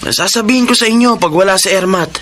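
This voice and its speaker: An actor playing an insolent, drug-addicted young son who sneers and taunts in a radio drama. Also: male voice, high voice, fast, a bit bright, slight background noise. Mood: sly, casual.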